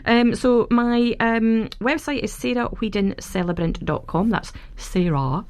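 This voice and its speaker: A woman guest on a radio show, chatting warmly about her work as a celebrant, with frequent fillers and restarts. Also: on the high side, a little slow, clean tone. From Scotland. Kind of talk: casual radio interview. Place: radio studio. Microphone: studio microphone.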